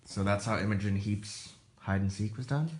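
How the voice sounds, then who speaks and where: Low-voiced man in casual chat. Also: moderate pace, darkish tone, reverberant, soft background music. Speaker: learner asking questions.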